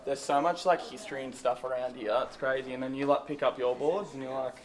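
A man's voice: mid-range voice, steady tone, quick pace. A young man chatting casually and enthusiastically in slang as he guides a tour. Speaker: surfboard factory tour guide. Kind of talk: casual chat. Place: indoor surfboard factory.

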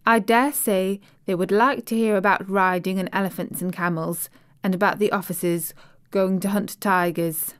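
A woman voicing a prim, sheltered young girl who speaks in a proper, measured way. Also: mid-range voice, steady tone, clear, resonant tone, lightly acted. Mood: earnest.

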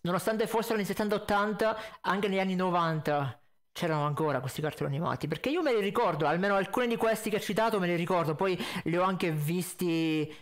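A man's voice: sits high, fast. A man, giving casual, critical commentary as a reaction video host into a close microphone. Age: 20s. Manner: critical, explanatory. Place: indoor home streaming setup with green screen. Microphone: close condenser mic on boom arm with pop filter.

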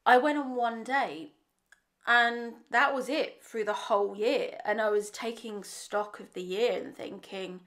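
Slightly croaky young woman vlogging. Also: fairly high pitch, measured pace, fairly bright tone. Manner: earnest, candid, thinks aloud with small restarts. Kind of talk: casual reflective monologue to camera. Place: bright bedroom indoors. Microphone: desk microphone in front of her.

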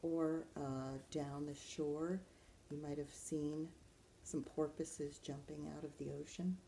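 A woman's voice: sits low, level tone, slow, mellow, slight background noise, rough recording. A woman in her fifties, a librarian reading a picture book aloud and talking simply and directly to young children. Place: living room at home, indoors.

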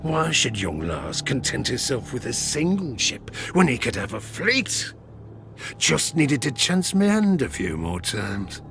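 An actor playing a rueful, ruined old harpooner and gambler with a British accent, spinning a folksy, gravelly-voiced yarn in an audio drama. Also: male voice, medium pitch, big pitch swings, measured pace, a bit bright. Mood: haunted, regretful. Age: older. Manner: folksy, rustic, rambling tavern yarn-spinning. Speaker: storyteller. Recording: studio drama with music behind. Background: music.

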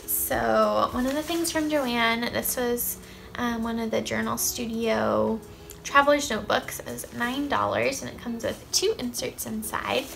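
A woman's voice: fairly high pitch, vocal fry, sharp tone. A young woman in her twenties showing off craft supplies in an upbeat, gushing way, sitting on the floor of a small indoor room. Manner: upbeat, gushing, lots of "um" and "I love". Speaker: craft vlogger. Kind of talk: casual haul show-and-tell.

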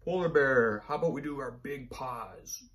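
A man in his thirties, a teacher reading a picture book aloud to young children in an encouraging, animated way. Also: medium pitch, normal speed. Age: 30s. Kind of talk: children's storybook read-aloud.

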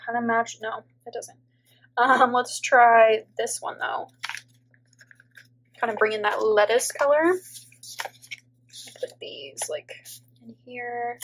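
A woman's voice: fairly high pitch, takes it slowly, pauses often, fairly bright tone, poor audio. A woman in her thirties, stuffy from allergies, chatting casually as she thinks aloud, peppered with "like" and little laughs. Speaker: planner vlogger and teacher. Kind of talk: casual plan-with-me voiceover. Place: indoor home table, overhead camera on planner.

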